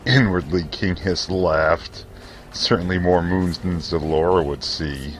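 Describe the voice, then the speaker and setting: A man reading scripted fantasy narration for an audio drama in a solemn, measured, theatrical way. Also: low-pitched, soft background music.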